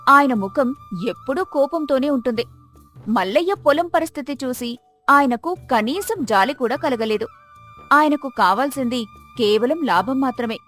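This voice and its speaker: A woman with a fairly high voice narrates a scripted village tale. She works as the storyteller, with music playing behind her. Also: lively intonation, moderate pace, fairly bright tone.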